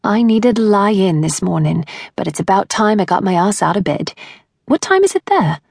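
A woman's voice: medium pitch, sing-song, moderate pace, vocal fry, darkish tone, slightly rough, dry recording, studio quality, exaggerated voice. A woman voicing a young English woman, a playful, no-nonsense friend with a sweet voice and blunt, teasing, slangy talk in an audiobook story. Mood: relieved, affectionate.

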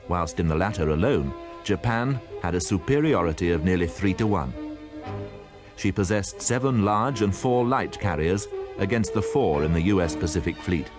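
A man narrating a history in a measured, formal scripted style. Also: deep voice.

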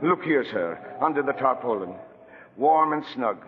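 An actor playing a gruff but tender old lighthouse keeper in a radio drama, speaking affectionately in folksy seafaring dialect. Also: male voice, medium pitch, expressive, moderate pace, close to an everyday voice. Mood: earnest, humble. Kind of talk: 1940s radio acting. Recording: dull vintage radio broadcast, long reverb.

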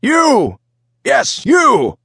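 A man voicing a gruff, bullying minotaur ship captain in an audiobook, barking orders in broken speech. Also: medium pitch, animated, takes it slowly, fairly bright tone, no echo, studio quality, pitched above the performer's own voice. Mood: angry, barking. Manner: barked, commanding broken speech. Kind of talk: audiobook character voice.

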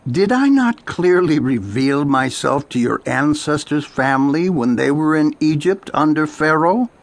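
An actor playing a stern prophet delivering divine judgment in a dramatized scripture audiobook, grave and declamatory. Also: male voice, a little high, expressive, measured pace, gravelly. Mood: grave, condemning. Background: music.

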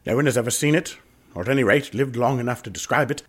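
A man acting a doomed, anguished gentleman in a radio drama, with husky voice and expressive old-fashioned upper-class phrasing. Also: mid-range voice, quick pace, close to an everyday voice. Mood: haunted, grave. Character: doomed gentleman haunted by a family suicide curse, anguished.

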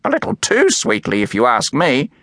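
A man voicing an excitable small female bird who squawks and chatters in a high, shrill voice. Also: moderate pace, dry recording, clean studio sound. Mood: excited chatter.

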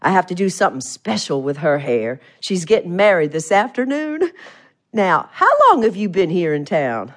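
An actress playing a proud, chatty small-town salon owner with an American Southern accent, folksy and emphatic, in an audio drama. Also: female voice, lowish voice, big pitch swings, normal speed. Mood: chatty, gossipy. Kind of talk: audio theatre drama acting.

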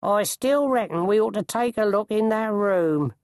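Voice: medium pitch, lively intonation, moderate pace, darkish tone, dry recording, studio quality, voice pushed up, close to an everyday voice. A narrator voicing a suspicious, blunt male ostler in an audiobook, talking colloquially.

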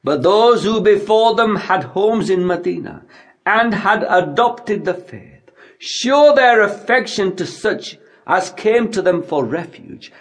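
A man's voice: high voice, lively intonation, slow pace. A man narrating scripture as an audiobook reader, in a solemn, declamatory recitation delivered phrase by phrase.

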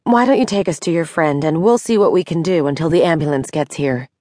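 A woman's voice: low voice, fairly quick, darkish tone, no echo, studio quality. A woman voicing a soothing, composed young woman doctor who takes charge in an audiobook. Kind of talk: audiobook character dialogue.

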